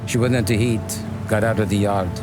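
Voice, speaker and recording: A man's voice: lowish voice, steady tone, a little fast, loud music behind the voice, lightly acted. An actor playing a seasoned, middle-aged mob consigliere in a game, lecturing bluntly like a stern father. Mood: blunt, menacing. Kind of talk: cinematic game dialogue.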